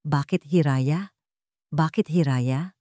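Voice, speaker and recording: A woman's voice: low-pitched, takes it slowly, dark tone, no room sound, studio quality. A woman voicing a polite, confused working-class man in an audio romance, pleading, with a Filipino accent. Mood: confused, hurt.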